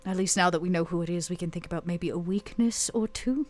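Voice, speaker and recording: A woman roleplaying a proud, guarded, bitter vampire woman in a tabletop game, speaking curtly and deliberately with intense pauses. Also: lowish voice, darkish tone, lightly acted. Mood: determined, grim.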